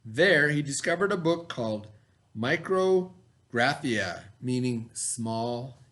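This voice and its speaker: A man reading aloud as a teacher in an expressive educational style, pausing to explain and repeat key words for children. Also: medium pitch.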